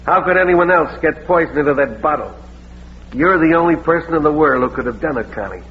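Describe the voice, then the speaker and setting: An actor playing a gruff, impatient police sergeant in a vintage radio crime drama, blunt and badgering. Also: male voice, on the high side, animated, brisk, natural voice. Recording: muffled, hissy vintage radio recording.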